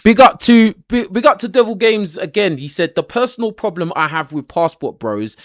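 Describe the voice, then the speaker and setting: A man's voice: sits high, expressive, moderate pace, a little hiss or hum. A man hosting a livestream debate, cutting in and repeating himself as he talks over his callers. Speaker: livestream host. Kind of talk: casual debate chat.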